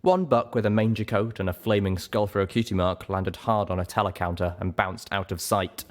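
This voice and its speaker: A man's voice: lowish voice, normal speed. A man reading a first-person adventure story aloud as dramatic narration. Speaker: audiobook reader.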